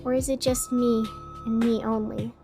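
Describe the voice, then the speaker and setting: A woman's voice: fairly high pitch, little pitch movement, normal speed, vocal fry. A woman playing a weary, lonely radio host, musing wistfully to herself in a scripted radio fiction monologue. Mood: lonely, pleading. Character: weary, lonely, melancholy female radio host in a gray city. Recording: music playing under the voice.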